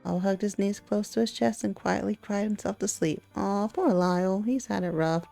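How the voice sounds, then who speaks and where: A woman's voice: medium pitch, normal speed, vocal fry, dark tone. A woman hosting a let's play, reading a visual novel aloud and reacting casually. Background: faint music.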